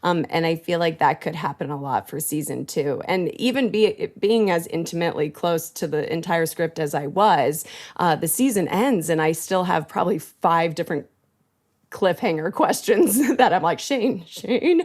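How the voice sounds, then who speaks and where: A bubbly woman guest chats on a podcast, her voice sitting a bit low. She is talkative and quick to laugh, and her talk runs loose and friendly. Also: lively intonation, darkish tone. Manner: chatty, bubbly, laughs often. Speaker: voice actor guest. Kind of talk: casual podcast chat.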